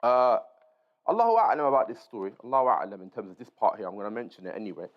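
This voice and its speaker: A man's voice: on the low side, animated, fast talker. A man teaching an informal Islamic lesson, reading aloud and explaining as he goes, checking in with his listeners. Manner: explanatory, checks in with listeners using short tags. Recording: dull, lo-fi sound.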